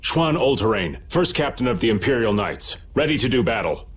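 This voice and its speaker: An actor playing a grave older knight captain in a video game, formal, stern and fatalistic. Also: male voice, mid-range voice, sing-song, moderate pace, natural voice. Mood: grim resolve. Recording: loud music under the voice.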